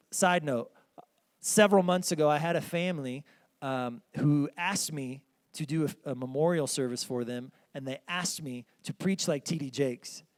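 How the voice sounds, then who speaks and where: A man preaching a sermon with a small, squeaky voice, telling the story in an earnest, conversational way with lots of "like".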